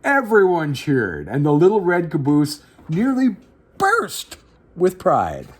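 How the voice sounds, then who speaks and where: A man's voice: a little high, wildly swooping pitch, takes it slowly, slightly rough. A man hosting story time, reading a picture book aloud with dramatic phrasing and talking directly to young listeners. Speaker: children's story reader, host.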